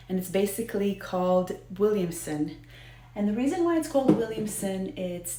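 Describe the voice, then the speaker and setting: A woman speaks in a roomy, echoing space with a fairly low voice and an American Israeli accent. She presents like a video host telling a story casually to teach it. Her delivery halts in places and leans on repeated words for emphasis. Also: darkish tone. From Israel and the United States. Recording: roomy, echoing indoor sound.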